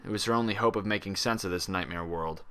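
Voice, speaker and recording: Low-voiced man narrating a story. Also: fast, sharp tone, clean tone. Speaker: fan fiction reader. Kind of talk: dramatic audiobook-style scripted narration.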